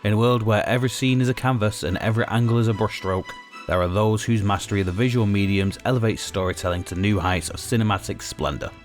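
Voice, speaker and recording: A man's voice: lowish voice, quick pace, faint music behind. A man reading scripted narration in a grandiose tone, breaking it with crude jokes.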